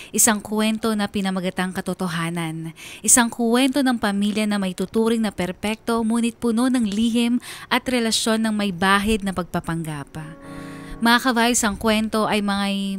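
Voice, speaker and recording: A woman with a Filipino accent narrating a scripted radio drama in a storytelling style. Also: mid-range voice.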